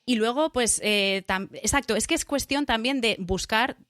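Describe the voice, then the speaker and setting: A woman's voice: on the high side, moderate pace, fairly bright tone, no echo, clean studio sound. A middle-aged woman from Spain with a Spanish accent, chatting as a podcast guest, talking in a loose way full of restarts and fillers. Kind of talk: casual interview chat.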